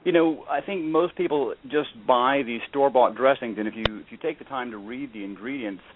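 A young man talks loosely over a tinny phone line, at an everyday pitch with a faint hiss underneath. He jokes along easily, stopping often on "uh" and "you know" as he finds his words. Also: brisk. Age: young adult. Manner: easygoing, joking, many fillers. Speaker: guest chef. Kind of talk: casual phone interview. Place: Atlanta.

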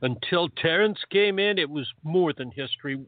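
A man plays a brooding, grumpy older man wronged in love, in a radio play. His voice sits slightly high and his delivery is gruff and hesitant. It sounds dry and narrow, like a phone. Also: lively intonation, moderate pace, dry recording, close to an everyday voice. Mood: grumpy, bitter.

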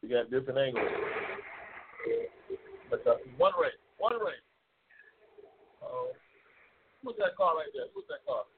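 A man's voice: fairly high pitch, wildly swooping pitch, a little slow, long pauses, a little hiss or hum. A man in his seventies hosting a casual live stream, joking and trash-talking with viewers as he reads their comments. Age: 70s. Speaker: host. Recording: tinny phone-like lo-fi sound.

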